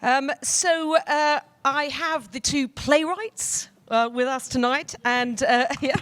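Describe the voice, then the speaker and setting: A woman's voice: high-pitched, slow, a bit bright, slight background noise. A woman moderating a live panel discussion on stage, speaking directly into a microphone as she introduces and questions guests. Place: theatre stage, live audience event.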